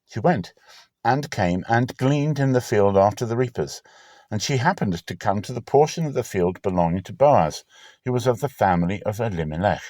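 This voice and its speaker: A man giving a dramatic scripture reading in a solemn, theatrical, deliberately phrased manner. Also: fairly low pitch, lively intonation, darkish tone, dry recording. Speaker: Bible reader, channel host.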